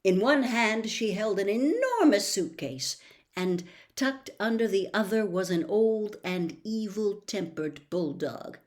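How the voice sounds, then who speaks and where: A woman narrating a story as an audiobook reader, expressive and dramatic, with emphatic phrasing. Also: medium pitch, slow.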